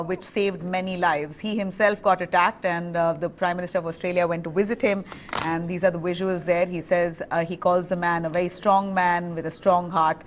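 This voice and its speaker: A woman with an Indian accent reading a live news bulletin as anchor, in a clear, firm delivery. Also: fairly low pitch, a little hiss or hum.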